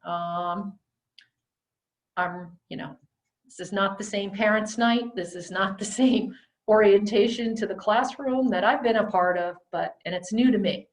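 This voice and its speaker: A middle-aged woman teacher talking loudly and chattily through a face mask, walking parents around her small classroom on a handheld camera. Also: medium pitch, a little slow. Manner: loud, chatty, rambling.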